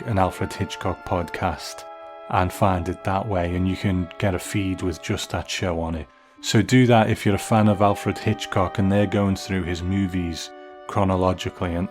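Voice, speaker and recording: A man hosting a podcast, giving casual solo commentary. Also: low voice. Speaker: podcast host.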